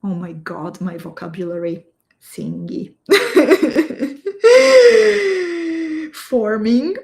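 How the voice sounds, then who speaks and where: Slow-talking woman teaching beading. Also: sits high, big pitch swings, gravelly, a little mellow. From Slovakia. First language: Hungarian. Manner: slow, step-by-step instructions, chatting with viewers. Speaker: beading instructor. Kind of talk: live-stream craft tutorial.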